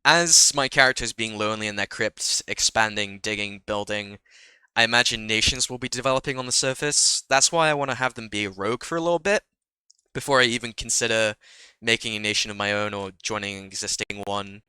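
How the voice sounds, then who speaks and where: A young man with a bright, crisp voice talks in a relaxed, chatty way, a little nasal from a cold. He is self-deprecating and laughs at his own jokes. The sound is dry and close, with no room around it. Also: on the low side, fairly quick, dry recording. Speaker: Minecraft roleplay creator. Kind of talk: casual solo vlog talk.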